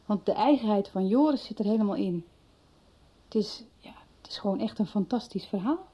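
An actress with a husky voice playing an earnest, opinionated woman in a conversational radio soap. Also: medium pitch, animated, fairly quick. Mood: earnest, admiring. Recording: dull 1990s radio recording.

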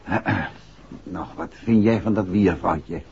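A man with a Netherlands Dutch accent playing a calm, affectionate gentleman detective and husband in a 1960s radio drama. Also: deep voice, unhurried, vocal fry, husky, dry recording, exaggerated voice. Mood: genial, relaxed. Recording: muffled, noisy 1960s radio recording.

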